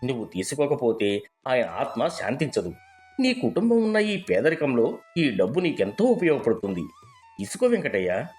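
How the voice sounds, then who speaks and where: A man's voice: medium pitch, huge pitch swings, normal speed, a little mellow, faint music behind. A man playing an earnest, persuasive lawyer in a moral story, formal, firm and reassuring. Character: earnest, persuasive male lawyer delivering a bequest. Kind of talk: dramatised moral storybook dialogue.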